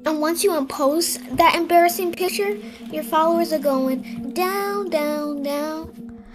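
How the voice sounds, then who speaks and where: A woman playing a demanding, mocking, excitable girl in an amateur horror roleplay. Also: high-pitched, slow pace. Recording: music clearly behind the voice.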